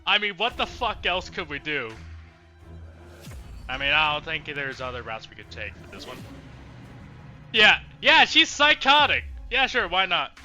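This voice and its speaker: A man's voice: on the high side, sing-song, measured pace, pauses often, sharp tone, soft background music. A man giving casual, reactive game commentary as a streamer, blunt and exclamatory with plenty of swearing. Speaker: let's play streamer.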